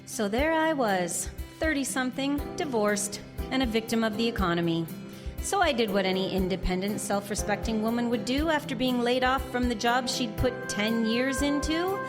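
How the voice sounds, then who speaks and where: A woman's voice: fairly high pitch, normal speed, music in the background, lightly acted. An actress playing a dry, sardonic woman in her thirties who narrates a comic radio drama. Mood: wry. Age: 30s. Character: wry, exasperated thirty-something divorced daughter narrating.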